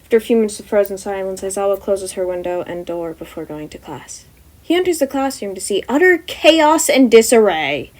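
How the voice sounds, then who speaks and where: A woman's voice: mid-range voice, expressive, fairly quick, darkish tone, poor audio. A woman reading scripted story narration as a podfic narrator.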